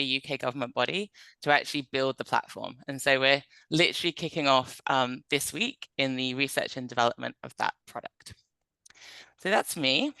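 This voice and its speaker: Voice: medium pitch, bright, forceful tone, clear, resonant tone, no room sound, rough recording. A presenter from England, giving an informal webinar in a conversational, explanatory manner. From North Devon, England.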